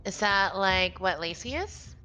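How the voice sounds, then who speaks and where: A woman's voice: medium pitch, lively intonation, measured pace, pushed and bright. A woman co-playing on a livestream, chatting casually and reading game dialogue aloud. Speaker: livestream co-player. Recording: music behind the voice.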